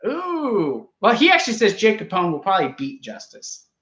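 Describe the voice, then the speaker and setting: A man's voice: high-pitched, huge pitch swings, normal speed, bright, forceful tone, roomy sound. A man casually reviewing a wrestling show, rambling and joking as he argues with his co-host. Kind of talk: casual live review chat.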